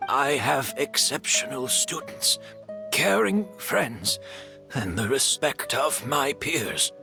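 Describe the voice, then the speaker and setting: A man voicing a gentle, aging scholar and teacher in a video game, speaking softly and reflectively with a resigned calm. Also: mid-range voice, slow, creaky, over music. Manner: soft-spoken, reflective, resigned. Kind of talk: game dialogue.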